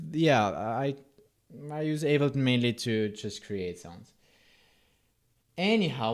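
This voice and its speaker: A man's voice: medium pitch, darkish tone. A man with a German accent whose first language is German, streaming a live coding session and chatting with his viewers in a rambling, self-interrupting way. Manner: rambling, self-interrupting, answers chat with asides. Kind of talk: casual live coding stream, reading chat.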